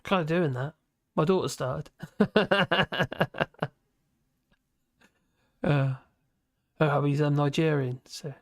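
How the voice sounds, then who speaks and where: A man's voice: fairly high pitch, takes it slowly, pauses often, dry recording, clean studio sound. A man, hosting a casual livestream, rambling and chuckling at his own asides from his home office. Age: 50s. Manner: chatty, chuckling, self-mocking asides. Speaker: livestream host reading chat.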